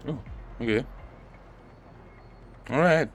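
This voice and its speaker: A man's voice: medium pitch, slow, background noise. A man giving casual game commentary as a streamer, with informal, slangy reactions. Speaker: gaming streamer.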